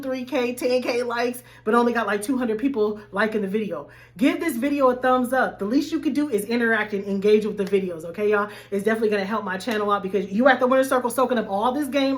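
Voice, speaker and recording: Hoarse, animated woman life coach. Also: fairly high pitch. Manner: animated, addresses viewers as y'all. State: slightly hoarse. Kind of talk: casual faith-based vlog talk.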